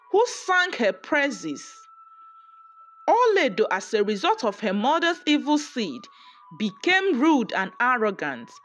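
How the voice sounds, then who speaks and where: A woman narrating a scripted folktale in an expressive storytelling manner. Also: on the high side. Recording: music under the voice. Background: background music.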